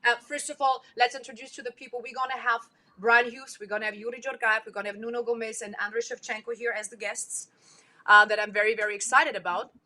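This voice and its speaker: A woman with a German accent hosting an online sports talk show, excitable and restarting her sentences, over a video call. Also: on the high side, quick pace. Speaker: host.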